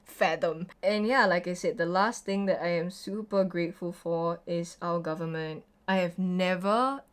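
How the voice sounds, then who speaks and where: A woman's voice: on the low side, fairly even intonation, normal speed, clean tone. Chatty Singaporean woman vlogger. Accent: Singaporean English. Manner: chatty, opinionated, frequent "like" fillers. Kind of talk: casual get-ready-with-me chat.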